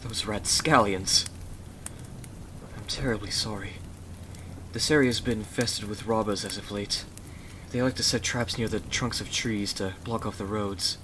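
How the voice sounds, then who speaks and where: Gentle gentleman rescuer played by a male actor, lowish voice, soothing and protective, for ASMR roleplay over noisy rain. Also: steady tone, a little slow. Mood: gentle, courteous. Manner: soothing, courteous, old-fashioned wording. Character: Jonathan, gallant protective husband, gentle rescuer. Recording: clearly noisy, rain behind the voice.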